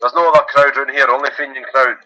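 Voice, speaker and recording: A man's voice: medium pitch, steady tone, very fast, sharp tone, no echo, muffled recording. A man from Glasgow with a Glaswegian accent, calling in to a phone-in and chatting casually in loose run-on phrases. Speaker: caller.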